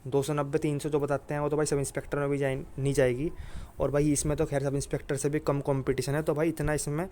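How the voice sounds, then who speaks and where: A man's voice: fairly high pitch, level tone, fast talker, a little mellow. A man giving informal exam guidance like a coach, repeating his points emphatically and often addressing listeners directly. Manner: repetitive, emphatic reassurance with frequent filler address.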